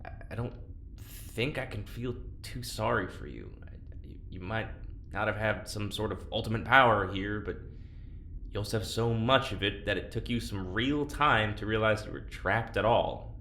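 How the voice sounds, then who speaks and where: An actor as a gentle, awkward man in an audio drama. He stammers his way toward honesty in a slightly low voice. The sound is echoey with faint noise. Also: normal speed. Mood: candid, uneasy. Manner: hesitant, stammering. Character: awkward, gentle, candid man, reluctant confidant.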